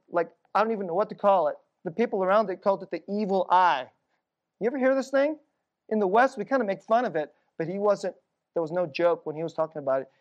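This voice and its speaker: A middle-aged woman who grew up in the United States preaches in a relaxed, storytelling way. Her voice sits at an ordinary middle pitch. She leans into anecdotes and goes quiet and low when a story turns dark. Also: lo-fi sound. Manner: conversational, storytelling, drops to a hushed low voice for eerie moments. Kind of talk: sermon with personal stories.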